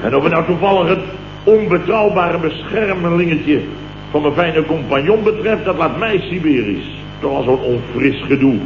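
An actor from the Netherlands with a Dutch accent, playing a sleep-starved, self-pitying, blustering company boss who rambles and repeats himself in a comic radio play. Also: male voice, a little high, normal speed, over music, natural voice. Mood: haughty, defensive. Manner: rambling, repetitive, exclamatory grumbling. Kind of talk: late 1960s comic radio play acting. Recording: dull, noisy, roomy old radio recording.

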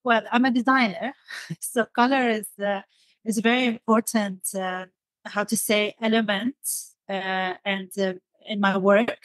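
A woman talks in a thoughtful interview style at a middle pitch, hesitating as she searches for words. The recording is dry, with very little room sound. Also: steady tone, unhurried, no echo. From Damascus, Syria. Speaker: podcast guest, designer.

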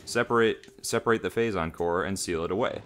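Man, deep voice, gaming host, casual banter, reading aloud. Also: fairly quick, a bit bright.

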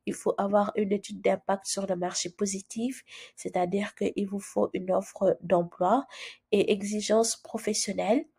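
Woman with a cold, husky voice, mellow tone, soft casual explaining, lots of fillers. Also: medium pitch, little pitch movement, unhurried. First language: French. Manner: chatty, hesitant with frequent fillers and restarts. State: has a cold, speaking softly. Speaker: immigration channel host. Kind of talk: screen-share tutorial, casual explaining.

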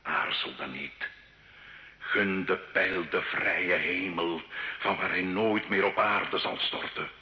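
An actor playing an earnest, fatherly priest, speaking in solemn, measured exhortation in a radio drama. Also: sits low, fairly even intonation. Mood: urgent, exhorting. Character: priest, earnest fatherly confessor urging a sinner to repent. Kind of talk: theatrical radio drama acting. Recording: dull old radio recording, roomy echo.

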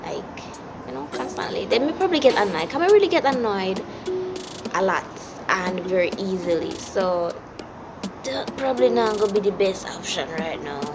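A woman's voice: medium pitch, big pitch swings, normal speed, noisy background. A young woman with a Jamaican accent answers viewer questions in a blunt, chatty way, slipping into patois, filmed on a phone at arm's length. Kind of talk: casual Q&A chat. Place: indoors against a plain wall. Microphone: camera or phone at arm's length.

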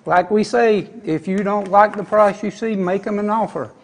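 A man's voice: sits high, moderate pace. A man hosting a TV shopping show, talking up items in folksy, chatty salesman banter from an indoor studio set on a lapel mic. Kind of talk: TV shopping pitch.

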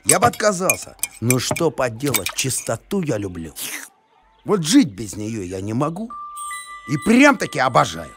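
A man's voice: mid-range voice, huge pitch swings, normal speed, over music. A man voicing a sentimental, simple-hearted and talkative male dog in a cartoon, emotional and argumentative. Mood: reluctant, chatty. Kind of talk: animated series voice acting.

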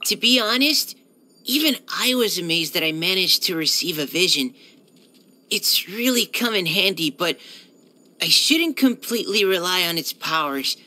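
A woman's voice: on the low side, lively intonation, normal speed. A woman voicing a polite, earnest young male military surveyor in a video game, with a hesitant, helpful manner. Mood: earnest, friendly. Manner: hesitant, soft-spoken politeness, eager to help. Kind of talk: video game character voice-over.

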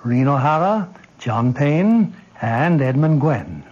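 A man's voice: on the high side, expressive, takes it slowly, a little mellow. A man hosting and narrating in a formal, polished, scripted 1940s radio style. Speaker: host and narrator. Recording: muffled old radio broadcast.

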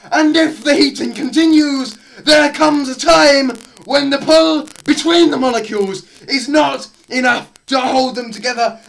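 A young man performing a theatrical scripted lecture, melodramatic, swinging between hushed murmurs and loud cries. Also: very high-pitched, vocal fry. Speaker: presenter performing a dramatised physics lecture. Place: small plain room indoors.

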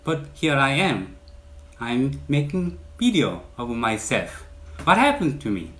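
A man in his forties from South Korea with a Korean accent, speaking earnestly and in measured phrases to camera as he introduces his channel as a realtor, in a bright indoor room. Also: medium pitch, sing-song. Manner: earnest, measured, with stressed lifts on key words.

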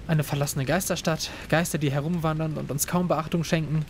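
A man's voice: fairly high pitch, steady tone, a little fast, lightly acted. A man voicing a young male student exploring a haunted town in an amateur audio drama, talking eagerly and urgently. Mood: determined. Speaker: voice actor in a fan audio drama. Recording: ambient noise bed behind voice.

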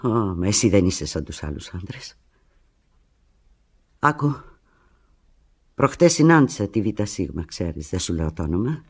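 Radio play lead: heartbroken woman clinging to her lover by phone, husky, intense, fragmented delivery. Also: heavily acted. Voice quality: low, husky. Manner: intense, fragmented, swinging from hushed to anguished. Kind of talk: radio drama monologue, one side of a phone call.

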